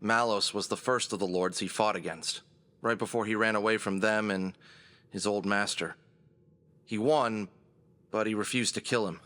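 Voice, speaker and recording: A man playing an earnest adventurer who leads a gang through a grim crisis, briefing them seriously and deliberately in a fantasy audio drama. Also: lowish voice, fairly even intonation, sharp tone. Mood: firm, earnest.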